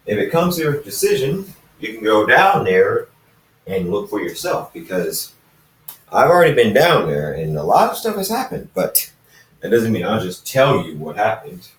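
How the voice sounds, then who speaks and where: A man's voice: on the low side, very animated, moderate pace. Man reading as a blunt ancient companion, swooping intonation. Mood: stern advice. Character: ancient immortal companion, blunt, probing, worldly-wise. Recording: roomy echo, distant microphone.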